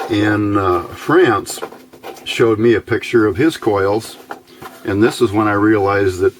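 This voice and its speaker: A man with a fairly low voice gives a casual, hands-on electronics tutorial. He speaks very slowly, pausing and thinking aloud as he explains each part. A faint background noise sits under the voice. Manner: halting, unhurried, thinking aloud. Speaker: electronics hobbyist video host.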